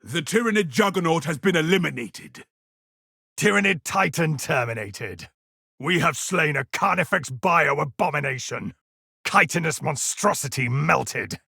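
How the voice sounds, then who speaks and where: An actor playing a grim, commanding space marine veteran with a gravelly voice in a video game. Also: male voice, a little high, animated, unhurried, bright, forceful tone, no echo, clean studio sound, full character voice. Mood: grim triumph. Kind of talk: video game combat barks.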